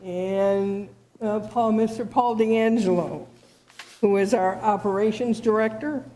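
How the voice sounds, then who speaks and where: A woman who is board president introducing members and staff at a public meeting, with a halting delivery and frequent fillers. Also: mid-range voice, fairly even intonation, warm tone, low-quality recording. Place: township meeting room.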